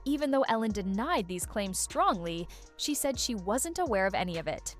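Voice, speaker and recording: A woman's voice: medium pitch, big pitch swings, moderate pace, soft background music. A woman reading scripted gossip-video narration in a dramatic, suspense-building way.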